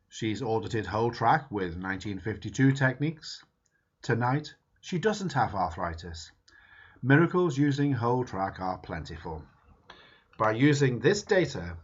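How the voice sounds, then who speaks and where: A man reading a book foreword aloud in a measured, emphatic way. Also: on the low side, breathy edge. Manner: measured, emphatic reading with lively rises and falls. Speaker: reader. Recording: dull lo-fi recording.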